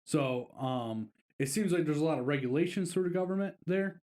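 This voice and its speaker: A man's voice: on the high side, lively intonation, a little fast, darkish tone. A man co-hosting a casual podcast, telling a long, rambling vacation story with plenty of fillers. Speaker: podcast co-host.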